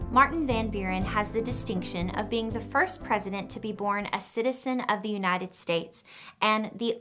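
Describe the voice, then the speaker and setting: A young woman narrates an educational lesson from a script, in a mid-range voice that stays clear and measured. She sits in a quiet studio, close to the microphone. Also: mid-range voice. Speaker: educational video presenter. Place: studio with a plain wooden backdrop.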